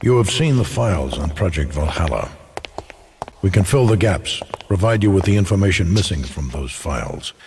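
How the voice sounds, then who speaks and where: An actor playing a secretive old man of influence, speaking in a formal, measured, courteous manner. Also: low voice, expressive, a little mellow. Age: older. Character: old, formal, secretive male power broker. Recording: noisy background ambience.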